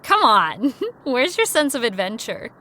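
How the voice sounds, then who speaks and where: An actress voicing Kara, a young woman on a road trip, talking in a brisk, teasing, upbeat way. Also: on the high side, big pitch swings, pushed and bright, slight background noise, full character voice. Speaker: lead character. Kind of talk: audio drama acting.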